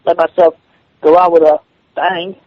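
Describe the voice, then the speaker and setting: A man speaking defensively on a phone call, halting and repeating himself. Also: on the high side, level tone. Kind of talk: phone conversation, answering challenges. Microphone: telephone call. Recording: dull lo-fi phone call recording.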